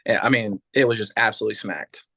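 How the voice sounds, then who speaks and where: A man's voice: on the low side, fairly even intonation, quick pace, creaky, bright, forceful tone, breathy edge. A man in his mid thirties, a podcast guest, talking in an easygoing, casual way and often answering with short agreeing replies. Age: 30s. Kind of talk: casual chat.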